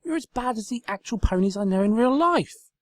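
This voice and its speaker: Man putting on a shrill, manic female unicorn. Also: animated, brisk, no room sound. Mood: exasperated. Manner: shrill, ranting, insistent. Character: manic, bossy young female unicorn, unhinged and melodramatic. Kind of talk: comedic story reading.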